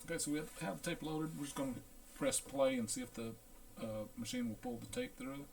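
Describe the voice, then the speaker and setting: A man talking through a hands-on equipment check as a repair technician, in a casual, unhurried, halting way, thinking aloud. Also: a little high, sing-song, creaky, heavy background noise. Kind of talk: casual hands-on demonstration narration.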